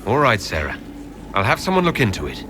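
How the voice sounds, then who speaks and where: A man's voice: lowish voice, wildly swooping pitch, brisk, background noise. An actor playing a diplomatic, businesslike male leader in a fantasy video game. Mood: composed. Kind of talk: video game dialogue acting.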